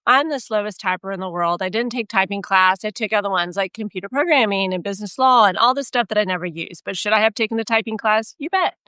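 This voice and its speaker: Woman, texas accent, casual chat, self-mocking, mid-pitched, dry close sound. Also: fast, hardly any pauses, smooth, dry recording. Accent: Texan. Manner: chatty, self-mocking, animated storytelling. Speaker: podcast co-host.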